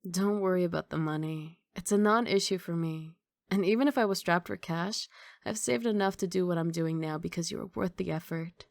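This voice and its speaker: A woman's voice: lowish voice, a little fast, creaky, no echo, clean studio sound. A woman playing a confident, doting young mafia boss girlfriend in an intimate, teasing ASMR romantic roleplay. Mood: affectionate, assured. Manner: playful teasing, intimate one-sided conversation.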